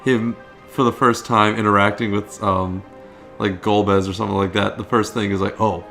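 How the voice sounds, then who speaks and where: A man's voice: on the low side, fairly even intonation, normal speed, fairly bright tone, soft background music. A man co-hosting a gaming video, chatting informally and agreeably about the cutscenes. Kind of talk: casual chat commentary on game cutscenes.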